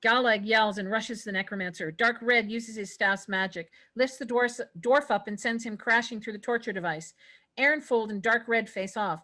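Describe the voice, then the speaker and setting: A woman's voice: a little high, moderate pace, pushed and bright. A woman reading stage directions as narrator in a scripted fantasy table read.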